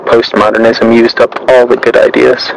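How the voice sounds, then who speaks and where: Guy reading out a written monologue, really monotone and gravelly, clear background noise on the recording. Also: mid-range voice, moderate pace. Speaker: narrator. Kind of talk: scripted literary monologue, read aloud.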